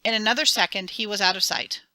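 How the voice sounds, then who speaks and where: Woman, audiobook narrator, very bright, dry close sound, expressive. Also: mid-range voice, fast, no room sound, rough recording. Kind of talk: dramatic storybook reading.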